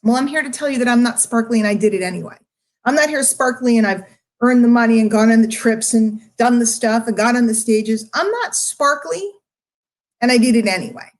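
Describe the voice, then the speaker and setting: Middle-aged female online marketer, slightly high-pitched, emotional, unscripted monologue to followers, confiding yet emphatic delivery. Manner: confiding, emphatic, with drawn-out words and pauses. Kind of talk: candid livestream monologue to followers.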